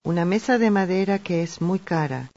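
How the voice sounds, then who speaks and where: A woman reading lively scripted dialogue for a language course. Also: lowish voice, moderate pace. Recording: dull lo-fi tape audio.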